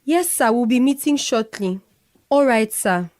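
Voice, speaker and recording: Radio drama: brisk female executive, Nigerian accent, fairly high voice. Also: lively intonation, a little mellow. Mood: deferential. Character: brisk female company boss, deferential to superiors.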